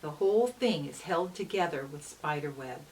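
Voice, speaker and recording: A woman teacher giving an educational read-aloud for children, explaining as she goes with deliberate, instructive phrasing and emphasis on key words. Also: low-pitched, animated, darkish tone. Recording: distant mic with faint hiss.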